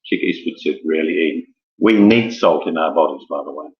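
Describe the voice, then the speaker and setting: A man's voice: very deep voice, brisk. A man hosting an informal live quiz in a rambling, jokey manner with theatrical build-up. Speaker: quiz host. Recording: dull lo-fi, echoey room.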